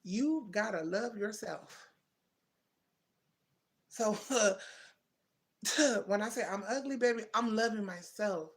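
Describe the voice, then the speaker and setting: A woman's voice: medium pitch, lively intonation, slow, long pauses. A woman in her thirties hosting a casual livestream, talking straight to her viewers and answering their comments, calling them baby and twin. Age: 30s. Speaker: livestream host. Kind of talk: casual live chat with viewers.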